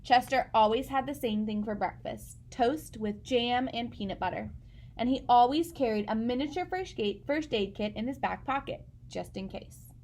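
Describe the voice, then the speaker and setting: A woman reading a children's picture book aloud in an expressive storytelling style, speaking as if to young listeners. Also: fairly high pitch, normal speed.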